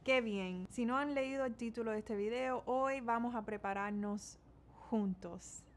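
A woman's voice: a little high, unhurried, slight background noise. A young woman in her twenties from Miami, with a Cuban-American accent, chatting casually through a makeup tutorial and slipping English words into her Spanish. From United States, Miami. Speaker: beauty vlogger. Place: small bedroom indoors.

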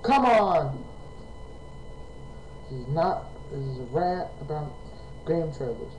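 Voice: medium pitch, slow pace. Rambling young webcam vlogger, roomy noisy sound. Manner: rambling, excitable, jumps between topics. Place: small room at home, indoors. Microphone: built-in webcam mic.

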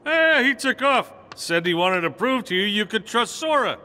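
Casting: barking toy soldier sergeant for a game, piercing high voice, echoing hall. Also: male voice, big pitch swings, normal speed, pushed and bright, full character voice. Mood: dutiful. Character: brisk little toy army sergeant, dutiful, barking. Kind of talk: animated video game dialogue.

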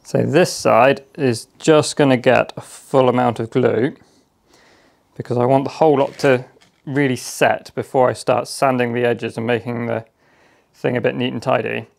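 A man explaining a woodworking job step by step in a relaxed, practical way while he works in his home workshop. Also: medium pitch, moderate pace, gravelly. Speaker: DIY workshop host. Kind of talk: casual how-to narration while working. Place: cluttered home workshop, indoors.

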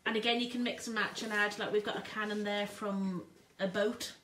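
A woman's voice: mid-range voice, little pitch movement, brisk, fairly bright tone, distant mic. A middle-aged woman, chatting and joking about toys in a toy shop. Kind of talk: casual product demo chat. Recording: echoey room, off-mic.

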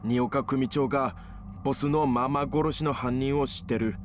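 An actor playing a cold, vengeful, ambitious young gangster fighter, curt and threatening, in dramatic video game dialogue. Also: male voice, mid-range voice, steady tone, normal speed, a little hiss or hum, lightly acted. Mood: menacing. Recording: music under the dialogue.

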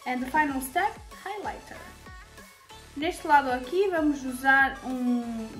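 A woman's voice: high-pitched, roomy sound, low-quality recording. A young woman from Serbia, talking to camera in a makeup tutorial, chatty and full of fillers. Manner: chatty, many fillers, speaks to viewers directly. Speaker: beauty vlogger. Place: small indoor room with shelves.

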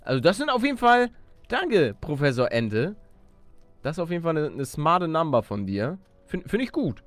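A man's voice: fairly high pitch, very animated, normal speed, dry recording, faint music behind. A man hosting a Let's Play, thinking aloud casually in slang peppered with English gaming words. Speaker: gaming video host.

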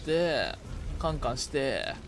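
A man doing casual, excitable gameplay commentary with a co-op partner, often repeating short exclamations. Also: medium pitch, slow pace, over music. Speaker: game streamer.

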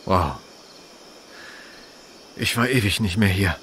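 An actor voicing a steady, encouraging young park ranger in a video game dub, casual and supportive. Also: male voice, low-pitched, takes it slowly, slight background noise, exaggerated voice. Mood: wry, nostalgic. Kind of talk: dubbed video game acting.

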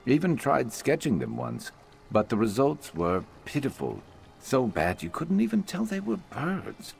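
A man playing a wry, gruff reptilian adventurer companion in a video game, with a gravelly, dry, deadpan grumble. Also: mid-range voice, a little slow, faint music behind, heavily acted. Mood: dry, grumbling.

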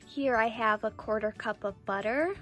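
Lady doing a happy sweet doll girl for a toy video, highish voice, explains stuff, bit of music behind. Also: normal speed, fairly bright tone, close to an everyday voice. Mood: cheerful, instructional. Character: cheerful doll girl baking in her play kitchen. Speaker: toy channel host. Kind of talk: scripted doll play narration.

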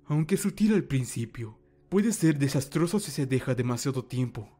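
A man playing a calm, wise old mentor in a fan dub, speaking formal Latin American Spanish in a measured, reassuring way. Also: medium pitch, lightly acted. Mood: calm, grave.

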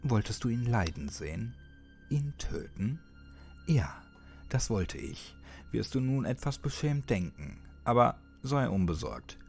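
Man, horror audiobook narration, animated intonation, ominous, dramatic pauses, direct address, soft music underneath. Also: medium pitch.

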